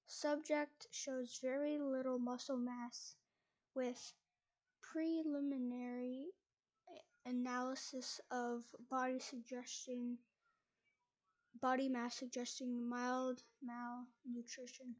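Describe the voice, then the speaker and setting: High flat-voiced woman reading haltingly. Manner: halting reading with restarts and stumbles. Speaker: reader. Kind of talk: reading a document aloud.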